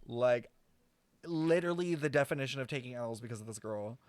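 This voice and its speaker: Streamer, young woman: casual playthrough chatter, sarcastic, sweary, excitable outbursts, close dry mic. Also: a bass voice, a little fast, dry recording. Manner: slangy, sweary, sarcastic, animated exclamations. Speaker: game streamer.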